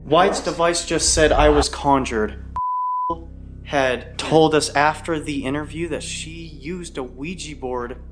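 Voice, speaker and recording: A man hosting a paranormal investigation, speaking tensely and urgently and calling out to a spirit in a dark house. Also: fairly high pitch, takes it slowly, over music. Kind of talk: ghost-hunting commentary. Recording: roomy echo, faint ambience.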